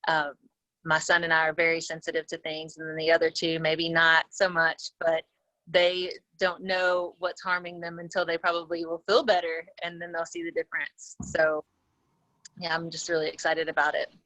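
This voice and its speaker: A woman's voice: deep voice, completely flat delivery, moderate pace, sharp tone, no room sound, poor audio. A woman taking part as a guest on a conference call, talking conversationally and thinking aloud with hesitant phrasing. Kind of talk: conversational, reflective account.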